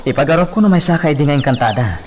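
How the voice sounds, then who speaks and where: A man with an Ilocano accent playing a playful, romantic young gentleman from a landowning family in a radio drama. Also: a little high, lively intonation, quick pace, slight background noise, close to an everyday voice. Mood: admiring, relaxed.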